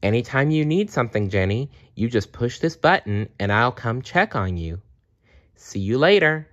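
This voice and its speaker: A man's voice: medium pitch, sing-song, normal speed, fairly bright tone, voice pushed up. A man voicing a friendly, helpful female nurse from a storybook, kindly and welcoming, on a dull recording.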